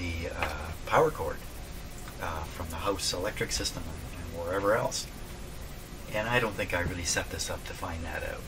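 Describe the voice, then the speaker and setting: An older man narrating casually at his workbench, thinking aloud in a folksy way. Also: low voice. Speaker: radio repair hobbyist, presenter. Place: small cluttered indoor workshop at a bench. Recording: distant mic, clear background noise.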